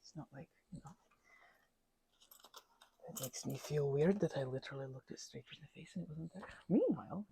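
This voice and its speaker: Soft, gravelly woman with a put-on Scottish lilt. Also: deep voice, normal speed, long pauses, background noise. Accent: playful put-on Scottish. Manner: drifts between soft talk and whispers, chatty and self-deprecating. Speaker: ASMR crafter. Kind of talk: rambling soft-spoken ASMR craft chat.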